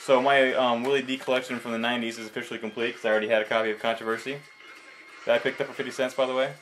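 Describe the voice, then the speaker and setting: A man's voice: mid-range voice, little pitch movement, rapid-fire, a bit bright, a little hiss or hum, poor audio. A young man chatting casually and enthusiastically as a video host, slangy and prone to swearing, in an amateur recording. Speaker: video host showing a collection. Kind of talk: casual enthusiastic vlog chat.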